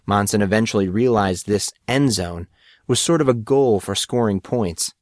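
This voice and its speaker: A man's voice: lowish voice, normal speed, a little mellow, dry recording. Man narrating a story, dry sound.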